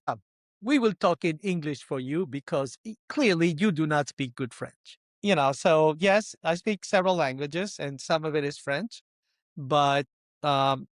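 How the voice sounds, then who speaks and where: A man in his sixties from Colombia, answering interview questions in deliberate short phrases that often list things. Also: a little high, dry recording, clean studio sound. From born in Colombia, immigrated as a small boy. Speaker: podcast guest, luxury consultant and investor.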